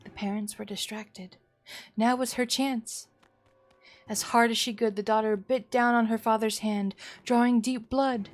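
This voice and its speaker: A woman's voice: a little high, fairly even intonation, a little slow, soft background music. A woman streamer reading a horror visual novel aloud as a dramatic narrator.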